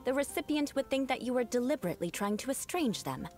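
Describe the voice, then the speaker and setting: A woman's voice: high voice, lively intonation, fast, darkish tone, faint music behind. An actress playing a proud young aristocratic woman knight in a video game, aloof and formal with precise, haughty diction. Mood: composed, lecturing. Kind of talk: scripted video game voice acting.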